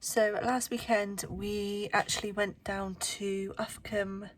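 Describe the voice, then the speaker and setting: A young woman vlogging to camera in a casual chat at her workplace early in the morning, with a bunged-up voice from a cold and lots of ums, sometimes dropping to a hush. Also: mid-range voice, level tone, slow, a little hiss or hum. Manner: chatty, with frequent ums, sometimes hushed.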